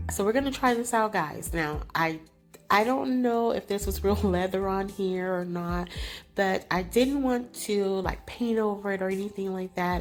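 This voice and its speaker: Chatty congested woman with gravelly fry. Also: mid-range voice. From California. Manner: chatty, apologetic, laughs often. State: congested, nasal from allergies. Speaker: crafting channel host. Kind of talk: casual craft tutorial voice-over.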